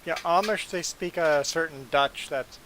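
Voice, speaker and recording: A man hosting a live stream, chatting casually with viewers; his first language is Low German and he grew up in Belize and Canada. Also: measured pace, slight background noise. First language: Plautdietsch (Low German). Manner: relaxed, chatty, answering viewers' chat. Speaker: livestream host.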